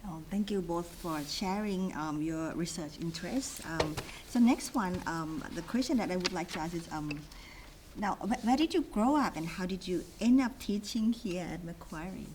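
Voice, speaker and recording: A woman moderates a university panel. She thanks the speakers and asks questions politely and briskly. Her lowish voice sits over faint room noise. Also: a little mellow, poor audio. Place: event room.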